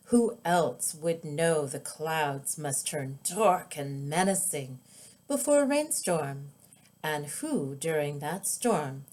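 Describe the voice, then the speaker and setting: Slow-paced woman reading a children's storybook. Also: low voice, a little mellow. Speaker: author reading own children's book.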